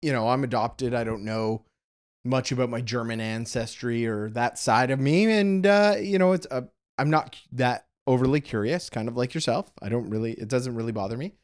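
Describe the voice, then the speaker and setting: A man's voice: medium pitch, moderate pace, smooth. A Canadian man muses through a casual movie chat, circling his point with fillers and restarts. His manner is reflective and easygoing, working out his thoughts as he speaks. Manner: reflective, rambling, many fillers. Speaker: film podcast co-host.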